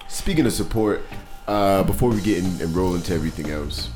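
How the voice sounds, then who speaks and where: Podcast co-host guy, super deep voice, teasing crude banter. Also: expressive, a little fast, music in the background. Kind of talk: casual chat.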